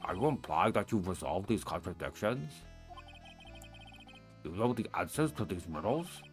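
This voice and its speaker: A man voicing a formal male courtroom judge who speaks in a measured way, for a fan-voiced game. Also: sits low, lively intonation, soft background music.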